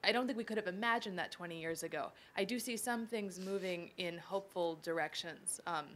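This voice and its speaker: Woman, lowish voice, academic panelist, thoughtful, measured, careful to qualify points. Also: fairly bright tone, slight background noise. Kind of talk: panel discussion, thinking aloud.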